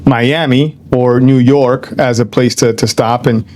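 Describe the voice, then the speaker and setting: An older man from Ecuador, answering an interviewer's questions in a reflective, unhurried way. He pauses with lots of "um" and "you know", restarts his phrases, and slips Spanish words into his English. His pitch sits in the middle range for a man. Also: steady tone, darkish tone. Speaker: guest.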